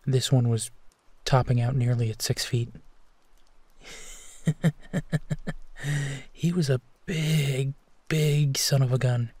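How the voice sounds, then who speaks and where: A man playing a gentle, protective werewolf, speaking tenderly and soothingly in an intimate sleep-aid roleplay, with a soft, breathy voice. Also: mid-range voice, steady tone, dry recording. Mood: gently amused. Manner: hushed, tender, unhurried reassurance with pauses. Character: gentle, protective male werewolf comforting a frightened companion.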